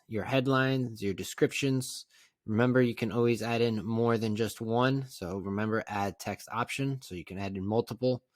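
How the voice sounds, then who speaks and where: A man narrating a casual step-by-step software tutorial in a plain, conversational, instructional way. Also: medium pitch, no room sound, studio quality. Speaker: tutorial presenter.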